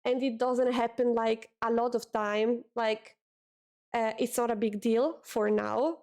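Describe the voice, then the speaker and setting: A woman's voice: on the high side, fairly even intonation, a little slow, darkish tone. A young woman with an Italian accent, chatting casually with her stream audience, often repeating words and reading chat messages aloud.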